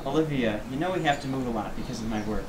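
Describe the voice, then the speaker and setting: An easygoing father, played by a man, talks patiently and reassuringly in a medium-pitched voice. It sounds like amateur radio drama, with clear room noise behind him. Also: quick pace, lightly acted. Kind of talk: amateur radio play acting. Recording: noisy room, hum behind voice.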